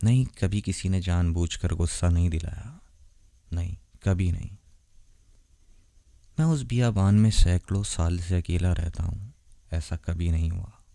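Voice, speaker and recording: Man playing a deep-voiced, tender vampire boyfriend. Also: vocal fry, no room sound. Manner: soft, intimate, gentle, unhurried. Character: tender, lonely centuries-old vampire boyfriend, male. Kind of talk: romantic ASMR roleplay, addressed to the listener.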